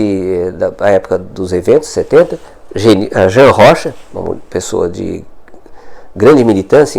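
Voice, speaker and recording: An older man speaks in a low, gravelly voice with a dark, mellow tone. He talks as a historian giving an interview, measured and reflective. He stops often to correct himself and hunt for the right word. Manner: thoughtful, self-correcting, searching for words. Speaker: historian guest. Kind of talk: conversational interview answer.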